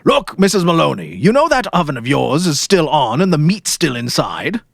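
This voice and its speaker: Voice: mid-range voice, sing-song, normal speed, gravelly, breathy edge, dry recording, clean studio sound, pitched above the performer's own voice, full character voice. A performer voicing a kindly male police sergeant, courteous and a little hesitant, in a story reading. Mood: obliging.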